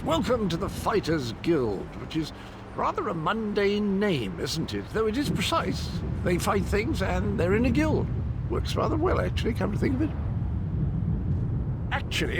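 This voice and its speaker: A chirpy adventurer. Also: male voice, sits high, heavy background noise, exaggerated voice. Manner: chirpy, upper-class chatter. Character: jolly posh male fighter with a pet steed. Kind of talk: video game dialogue.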